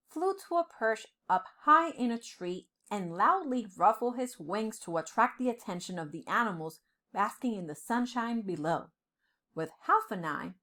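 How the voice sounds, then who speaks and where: A woman reading a children's storybook aloud with dramatic, deliberate phrasing, as a storyteller. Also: mid-range voice, pushed and bright. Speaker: storyteller, professor.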